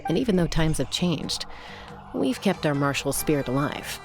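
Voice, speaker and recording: An actress playing a calm young female warrior and peacemaker who talks in an easygoing, measured way, in a game scene. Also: deep voice. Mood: proud. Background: game music.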